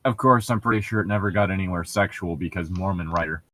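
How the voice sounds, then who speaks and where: A man's voice: low-pitched, fast talker, a bit bright. A man doing casual, mocking read-along commentary as a show host, jokey and profane as he rambles. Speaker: host of a comedic book-reading show.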